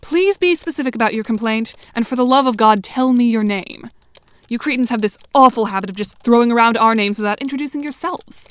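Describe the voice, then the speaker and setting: A woman's voice: a little high, fairly quick, dry recording, lightly acted. A woman playing a dry, self-assured, sardonic teenage girl with formal diction in an audio drama. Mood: curt, annoyed.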